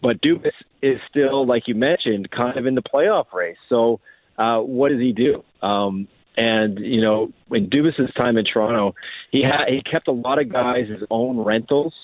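Man on a tinny phone line, halting delivery. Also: mid-range voice, no room sound. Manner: halting, repeats and restarts phrases. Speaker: hockey writer, interview guest. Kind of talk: conversational sports radio analysis. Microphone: phone call.

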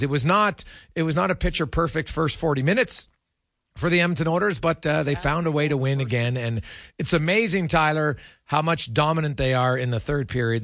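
A man hosting a sports radio show, chatting casually and a bit haltingly about hockey as he thinks out loud. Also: medium pitch, dry recording, clean studio sound. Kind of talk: casual hockey analysis chat.

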